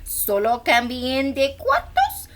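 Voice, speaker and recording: A woman's voice: high-pitched, huge pitch swings, slow, a bit bright, full character voice. A woman voicing a confident, know-it-all little bird from a children's storybook, speaking emphatically. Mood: confident, helpful.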